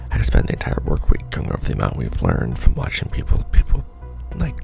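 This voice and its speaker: A man performing an ASMR roleplay as a seasoned, wry secret-society official, talking softly and casually with a gravelly, breathy undertone. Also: extremely low pitch, huge pitch swings, music in the background. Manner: wry, casual, unhurried explaining. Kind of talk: ASMR roleplay monologue, soft conversational briefing.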